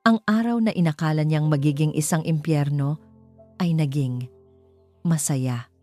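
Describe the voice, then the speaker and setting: Female narrator for romance audio story, Filipino accent, low and soft-edged mellow delivery. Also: a little slow, soft background music.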